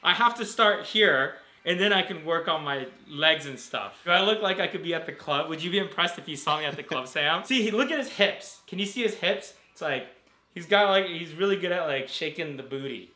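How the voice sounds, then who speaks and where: An American man chatting casually as a vlogger, in an informal, animated and jokey way. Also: fairly high pitch, fairly quick. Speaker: vlogger, host. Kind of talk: casual vlog chat.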